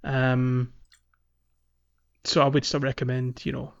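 Guy chatting casually about a game as podcast co-host, halting delivery, kinda muffled lo-fi audio. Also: medium pitch, monotone, gravelly, clean tone. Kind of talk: casual video game playthrough chat.

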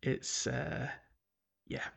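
A man co-hosting a podcast in casual gaming chat, often repeating words as he thinks and chuckling as he goes. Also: drawn-out words. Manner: chuckles often while talking. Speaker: podcast co-host. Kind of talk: casual chat about a video game.

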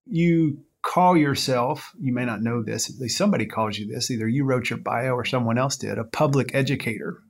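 A man's voice: medium pitch, brisk, creaky, hardly any pauses. A man co-hosting a podcast, introducing a guest and asking questions in a loose, friendly way with many fillers and restarts. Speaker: podcast co-host.

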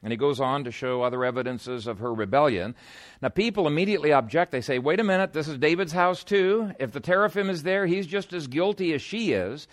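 A man's voice: on the high side, brisk. A man preaching an expository sermon in an emphatic, conversational teaching manner.

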